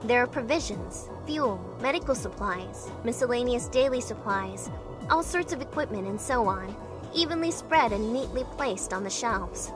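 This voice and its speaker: Woman performing young female game protagonist, fairly high voice, anxious, curious, introspective, measured first-person narration, music underneath. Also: expressive, natural voice. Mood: musing. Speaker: narrating character in a fan dub of a visual novel.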